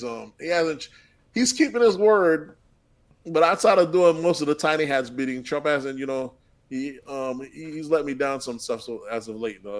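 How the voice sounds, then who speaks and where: A man co-hosting a casual podcast, rambling and restarting his sentences and often asking "you know what I'm saying". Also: mid-range voice. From Haitian heritage. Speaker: podcast co-host.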